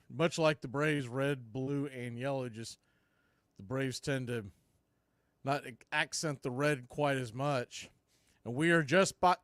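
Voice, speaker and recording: A man doing live baseball play-by-play for a fan stream, mixing clipped pitch calls with casual, partisan asides. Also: fairly bright tone, clear, resonant tone, no room sound, studio quality.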